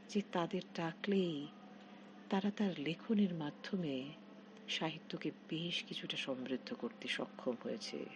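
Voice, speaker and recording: A middle-aged woman narrates a short story as its author. Her delivery is unhurried and expressive, with pitch that rises and falls widely. A faint noise sits behind the voice. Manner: expressive, measured recitation. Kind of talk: scripted literary story narration.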